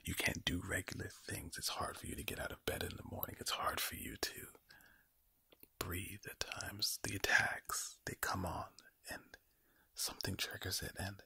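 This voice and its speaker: Guy doing whispered asmr comfort talk, super slow and repetitive, villain-ish husky voice, real close and dry. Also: bright, forceful tone. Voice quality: low, breathy, villainous-sounding whisper. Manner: slow, repetitive, soothing address to the listener. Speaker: ASMR creator speaking to the listener.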